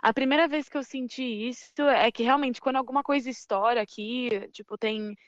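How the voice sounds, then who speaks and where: A woman's voice: fairly high pitch, fairly even intonation, fairly quick, dry recording. Hesitant woman with muffled, lo-fi sound. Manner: searching for words, hesitant. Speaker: guest, soldier. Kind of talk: casual conversational interview.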